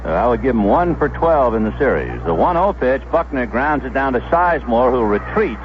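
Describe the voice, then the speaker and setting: A man's voice: mid-range voice, sing-song, brisk, highs cut off, background noise. A man giving live play-by-play baseball commentary as a radio announcer on a 1970s broadcast.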